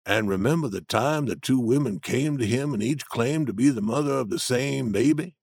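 A man reading an audiobook, voicing a stubborn grown man who argues slowly and insistently from common sense. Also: medium pitch, vocal fry, a little mellow, no echo, clean studio sound. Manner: slow, insistent, arguing.

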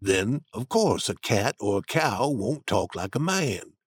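A man voicing a stubborn, slow-talking grown man. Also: fairly low pitch, sing-song, breathy edge, pitched below the performer's own voice, full character voice. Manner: slow, insistent, arguing. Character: stubborn grown man, firm in his common sense. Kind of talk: audiobook reading.

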